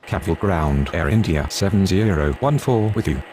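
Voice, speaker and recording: Low-voiced actor as male airport radio operator. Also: animated, brisk, darkish tone, slight background noise. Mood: businesslike. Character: male pilot and controller on airport radio, businesslike. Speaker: air traffic radio voice in a mobile game. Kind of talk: clipped aviation radio phraseology.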